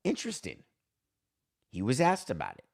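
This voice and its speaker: A man delivers solo sports commentary as a podcast host. His voice sits at an average male pitch, and he argues his points emphatically, with a sardonic edge and rhetorical flourishes. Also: wildly swooping pitch, moderate pace, vocal fry, a little mellow.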